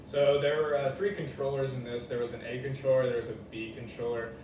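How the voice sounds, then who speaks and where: A man's voice: mid-range voice, flat delivery, brisk, highs cut off. A young man giving an informal class presentation, talking in a casual, colloquial way. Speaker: student presenter. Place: echoey indoor room, presenting to a class. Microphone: distant room microphone. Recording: very echoey, distant mic, noisy room.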